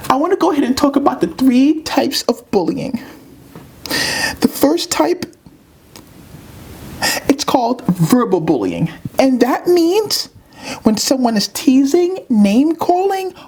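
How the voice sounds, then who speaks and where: A woman's voice: high voice, a little mellow, heavily acted. A woman voicing an earnest, dramatic little pre-K girl puppet in a children's puppet show, with emphatic, repetitive delivery. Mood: hurt, explaining.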